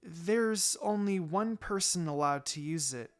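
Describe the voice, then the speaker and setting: A man's voice: high voice, measured pace. Timid teenage kunoichi for a fan story, played by a man; hesitant, stuttering, music underneath. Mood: shy. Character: shy, stammering teenage ninja girl.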